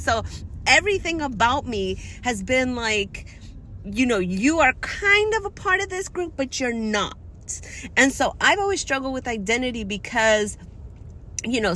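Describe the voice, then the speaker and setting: Candid, swearing woman vlogger, bright voice. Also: fairly high pitch, a little hiss or hum. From United States. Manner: candid, frustrated, swears freely. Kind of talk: casual personal monologue.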